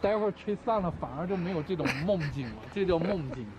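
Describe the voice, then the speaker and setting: A man's voice: sits high, animated, fast, muffled recording, background noise. A middle-aged father on a family trip, exclaiming with enthusiasm over the scenery. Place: outdoors at a lakeside viewpoint. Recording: outdoor phone audio, loud crowd behind.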